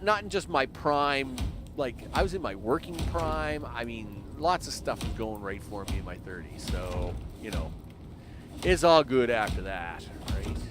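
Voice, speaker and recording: A man in his early fifties, rambling casually with lots of fillers while commenting on his own game during a live stream. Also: medium pitch. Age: 50s. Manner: rambling, chatty, talks to himself and chat with lots of fillers.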